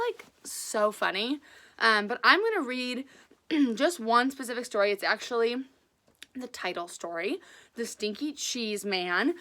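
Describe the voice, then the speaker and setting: A woman reading aloud as a storyteller, casual and chatty, with an enthusiastic, expressive delivery. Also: a little high.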